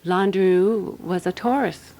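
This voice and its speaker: An actress playing a calm, practical woman detective in a radio drama, telling her case in a composed, deliberate way. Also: on the low side. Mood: explaining, confident. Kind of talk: radio drama dialogue and first-person narration.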